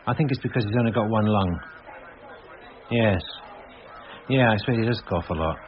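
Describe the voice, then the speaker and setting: An actor playing a harried male detective sergeant in a radio drama, distracted, halting and dryly put-upon. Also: lowish voice, highs cut off, a little hiss or hum, natural voice. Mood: busy, distracted.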